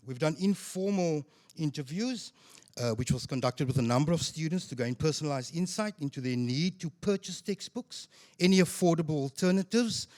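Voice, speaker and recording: Man from South Africa, loud voice, formal stage talk with ums, handheld mic. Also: on the high side. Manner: measured, formal, with frequent ums. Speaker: student representative speaker. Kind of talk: panel presentation. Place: event stage.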